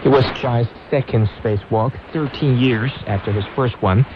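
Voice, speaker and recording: A man's voice: mid-range voice, normal speed. A man reading scripted radio news as an anchor, heard over shortwave radio. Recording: noisy, dull shortwave radio reception.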